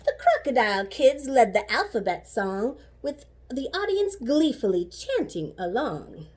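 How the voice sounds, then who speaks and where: A woman reading a children's story aloud like a teacher, animated and rhythmic with the rhymes. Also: fairly high pitch, unhurried. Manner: animated, rhythmic rhyming delivery.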